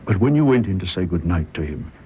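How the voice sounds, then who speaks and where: An actor playing a stern, restrained British army major in a radio drama, formal and deliberate. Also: male voice, low voice, very animated, full character voice. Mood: grave, probing. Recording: muffled radio recording, clear background noise.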